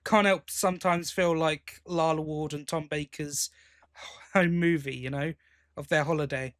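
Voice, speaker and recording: A British man with a voice pitched a little high for a male speaker hosts a casual podcast. He is chatty and rambling, often breaking off mid-thought to correct himself or take up another. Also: fairly even intonation, moderate pace, a bit bright. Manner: chatty, rambling, self-interrupting. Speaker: podcast host. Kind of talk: casual chat.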